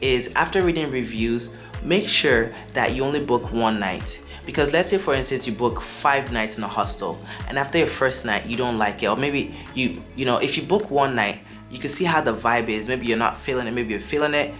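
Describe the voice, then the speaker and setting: A young Nigerian-accented man, his accent drifting toward American now and then, talks to camera in a chatty, upbeat vlogging way. His voice sits at an ordinary middle pitch. Faint music runs quietly beneath him. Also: normal speed. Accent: Nigerian, shifting toward American. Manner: chatty and upbeat, with asides and restarts. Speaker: travel vlogger. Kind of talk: casual advice to camera.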